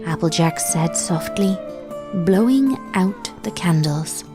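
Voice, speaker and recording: A woman reading a dark fanfic aloud in a measured, deliberate storytelling manner. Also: fairly low pitch, lively intonation, music in the background. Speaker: fanfic reader. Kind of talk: dramatic story narration.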